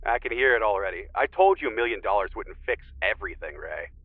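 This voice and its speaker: Easygoing Zen teacher, band-limited call. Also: male voice, on the low side, animated, fast, no echo, phone-quality sound. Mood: mellow, teasing. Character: mellowed male ex-contestant turned Zen teacher, gently teasing. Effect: remote call filter. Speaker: podcast guest. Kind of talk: audio drama phone interview.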